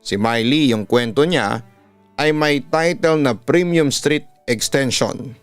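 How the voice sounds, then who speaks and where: A man's voice: mid-range voice, takes it slowly, no room sound. A man with a Filipino accent reading a listener's horror letter aloud as a storytelling host. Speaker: host and narrator of a listener-story channel, radio announcer. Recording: music bed behind the voice.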